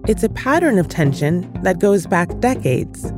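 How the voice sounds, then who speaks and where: A woman hosting a news podcast, narrating and asking her guests questions in a clear, conversational way. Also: on the low side, sing-song, a little slow, music in the background. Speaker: podcast host.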